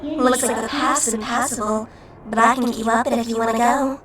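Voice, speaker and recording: A woman's voice: on the high side, fairly even intonation, fairly quick, fairly bright tone, a little hiss or hum, lightly acted. A woman voicing an impish, teasing female shadow creature in a fan-made video game dub, with a mocking, sardonic manner. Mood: playful, flippant. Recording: roomy, distant mic under music.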